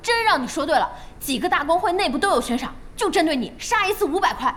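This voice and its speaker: Feisty, high-voiced young female gamer. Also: lively intonation, fairly bright tone. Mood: surprised, gossipy. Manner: outspoken, quick to exclaim. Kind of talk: audio drama acting.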